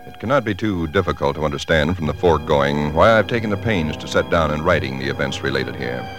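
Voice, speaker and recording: A troubled, earnest American husband with a very deep male voice. He belongs to a 1940s radio suspense drama, and music sounds behind him. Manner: formal in narration, stammering in dialogue. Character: shaken, earnest husband recounting eerie events. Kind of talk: 1940s radio drama acting and first-person narration.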